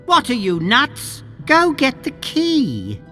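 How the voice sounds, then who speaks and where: High-voiced, fussy little cartographer. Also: male voice, huge pitch swings, takes it slowly, bright, forceful tone, faint music behind, full character voice. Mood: indignant, fussy. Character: tiny, fussy, timid male pirate-island cartographer. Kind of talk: video game voice acting.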